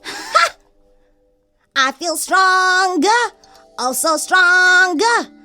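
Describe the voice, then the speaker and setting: Excitable, high-pitched cheeky bunny. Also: female voice, drawn-out words, faint music behind, full character voice. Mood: amazed. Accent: South African. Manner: exclaiming, theatrical, playful. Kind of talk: children's musical comedy audio theatre.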